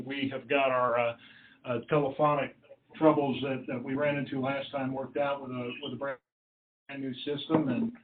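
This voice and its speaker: Man on an echoey, muffled conference line. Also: medium pitch. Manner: halting, polite and deferential. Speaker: committee staff official running the meeting. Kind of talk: formal committee meeting remarks and roll call. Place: conference call, roomy sound.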